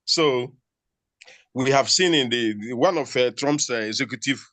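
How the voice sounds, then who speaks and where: A man in a dry, close recording, with a mid-range voice. He is a university researcher and talks haltingly, with pauses and restarts as he works toward his question. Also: mid-range voice, fairly bright tone, no echo. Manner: hesitant, many fillers and restarts. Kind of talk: panel question. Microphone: video call.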